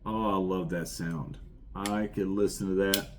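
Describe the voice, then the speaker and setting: Middle-aged southern guy, real low voice, rambles and repeats himself, bit of hiss behind. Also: steady tone, unhurried. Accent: rural American Southern. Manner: enthusiastic, rambling, repeats words. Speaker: knife collection reviewer. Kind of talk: casual show-and-tell.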